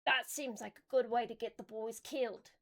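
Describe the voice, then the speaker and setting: A woman's voice: fairly high pitch, fairly even intonation, moderate pace, fairly bright tone. A woman voicing a reluctant, superstitious male orc officer who speaks hesitantly. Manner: hesitant, grudging.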